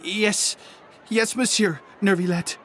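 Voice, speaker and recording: A woman's voice: medium pitch, fairly even intonation, takes it slowly, slight background noise, natural voice. A woman playing a meek, nervous underling in a video game, speaking with hesitant stammers.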